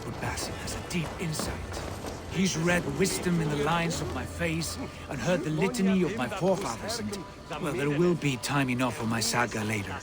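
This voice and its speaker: An actor playing a proud, self-assured Viking lord in a video game. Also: male voice, fairly high pitch, expressive, moderate pace, loud music behind the voice, close to an everyday voice.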